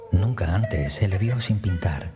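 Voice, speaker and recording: A man's voice: sits low, expressive, unhurried, over music. A man narrating a scripted first-person horror story as a storyteller.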